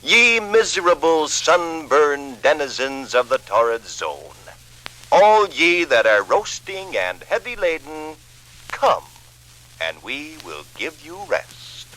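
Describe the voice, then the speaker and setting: Guy doing a pompous olden days editor proclaiming like a preacher, real slow and swooping, old radio drama. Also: fairly high pitch, very animated, bright, forceful tone, full character voice, poor audio. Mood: grandiose proclaiming. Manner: declamatory, pompous. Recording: vintage radio, faint noise.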